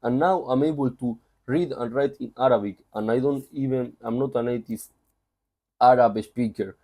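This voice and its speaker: A man's voice: mid-range voice, moderate pace, dark tone. A man with a Spanish accent summarizing a book in a loose, conversational way, full of asides. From Spain. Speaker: book reviewer. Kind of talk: casual book summary talk.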